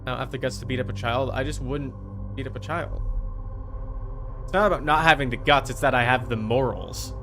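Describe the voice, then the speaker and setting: A man's voice: mid-range voice, fairly quick, fairly bright tone. Male game streamer, mid-range voice, blunt, argumentative, foul-mouthed, casual chat, music in the background. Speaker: livestream host. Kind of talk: casual gaming stream chat while playing a horror game.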